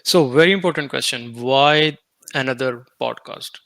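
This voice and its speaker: A young man from Pakistan hosts a casual podcast, his voice at a middle pitch and recorded dry and close. He talks haltingly, with plenty of fillers, and slips between English and Hindi-Urdu. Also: gravelly, fairly bright tone, dry recording. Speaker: podcast host.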